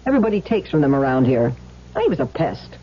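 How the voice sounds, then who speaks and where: Blunt gossiping neighbor, lower-pitched, chatty, scornful, radio drama, muffled vintage sound. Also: female voice, sing-song, fast talker, breathy edge, full character voice. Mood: gossipy, scornful. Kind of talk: old-time radio drama acting. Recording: muffled old radio recording.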